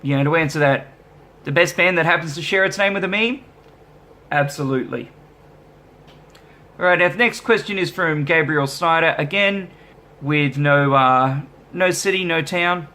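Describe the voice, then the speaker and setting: Easygoing man, fairly high voice. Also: moderate pace, pauses often, pushed and bright. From Australia. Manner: easygoing, self-deprecating, rambling with fillers. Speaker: musician vlogger. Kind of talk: casual vlog chat answering viewer questions.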